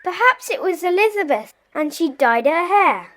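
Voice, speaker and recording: A woman playing an excitable young schoolgirl detective in a children's audio drama, eager and earnest. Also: very high voice, normal speed, no echo, heavily acted.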